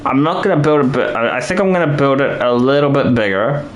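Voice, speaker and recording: A man's voice: medium pitch, fast talker, slight background noise. A man live-streaming a building game, rambling and thinking aloud with lots of restarts while he is losing his voice.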